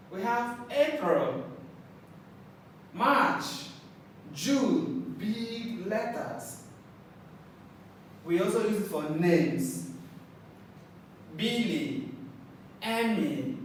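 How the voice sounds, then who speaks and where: Slow patient teacher in an echoey room. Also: male voice, high-pitched. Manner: slow, patient, repeats words and sounds out letters. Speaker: English teacher. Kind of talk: slow classroom lesson for children. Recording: echoey room, far from mic, faint noise.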